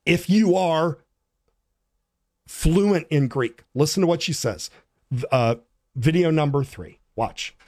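Man, host, slow and deliberate, somewhat high voice, earnest, exhorting. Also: lively intonation, darkish tone. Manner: earnest, exhorting, with restarts and fillers. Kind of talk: talk on church language.

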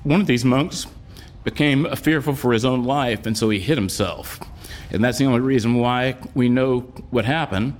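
A man's voice: medium pitch, moderate pace. A man who is a priest, delivering a sermon. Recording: clear background noise, live room sound.

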